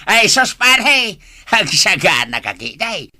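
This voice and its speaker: A man plays a nosy village buddy in a comedy drama, mocking and teasing. His voice is piercingly bright and leaps wildly up and down in pitch. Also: sing-song, takes it slowly, full character voice. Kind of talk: Ilocano barangay comedy drama acting.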